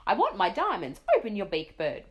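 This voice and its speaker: Storybook voice: a woman plays a shrill, hysterical older duchess. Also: huge pitch swings, brisk. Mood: shrieking. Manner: shrill, screaming. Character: hysterical large older duchess, shrieking, former opera singer.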